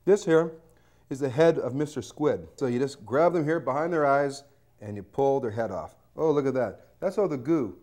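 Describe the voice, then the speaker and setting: A man's voice: medium pitch, lively intonation, moderate pace, a little mellow. A man in his thirties hosting a cooking show in a studio kitchen, cheeky and joking as he talks to camera. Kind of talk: jokey cooking demonstration.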